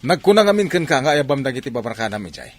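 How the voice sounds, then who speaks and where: A stern, exasperated elder brother played by a male actor in a village radio drama. His mid-pitched voice has a Filipino accent and a grumbling, scolding delivery. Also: sing-song, racing. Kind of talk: Ilocano comedy radio drama acting.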